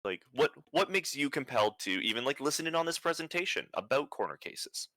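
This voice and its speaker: A young man presenting at an online conference, talking in a flat, near-monotone way with many fillers and restarts. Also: a little high, a little fast, dry recording.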